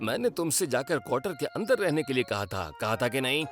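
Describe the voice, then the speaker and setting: A woman's voice: a bass voice, very animated, a little fast, over loud music. A woman voicing a caring but fussy, scolding grown-up station chief in a children's cartoon dub.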